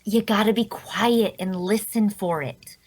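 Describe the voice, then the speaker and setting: A woman's voice: medium pitch, a little slow, a little mellow, natural voice. A woman playing a sly young female thief in a fantasy drama, with a coy, teasing, conversational way of talking. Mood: alert, hushed. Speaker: voice actor. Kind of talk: scripted character dialogue at a table read.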